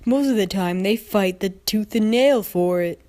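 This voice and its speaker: Woman as official stallion, formal, measured, matter-of-fact, cartoon storybook character. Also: medium pitch, mellow, natural voice. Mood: reassuring.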